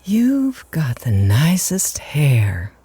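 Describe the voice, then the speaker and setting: A scheming, flirtatious wife in a radio drama. Her voice is mellow and very unhurried, swinging coyly up and down as she teases and coaxes. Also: sits low, wildly swooping pitch, breathy edge, faint music behind, exaggerated voice. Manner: coy, teasing, coaxing. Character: flirtatious, scheming married woman tempting the hired man.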